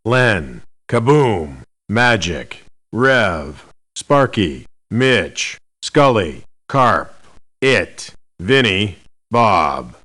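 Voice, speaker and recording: A demanding, self-important soldier of fortune from a video game. His low voice is hard-edged and swoops widely, with a measured pace. He is blunt and clipped, easily indignant. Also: sing-song, a bit bright, heavily acted. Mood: flat roll call. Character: cocky, demanding elite male mercenary for hire. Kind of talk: video game character voice lines.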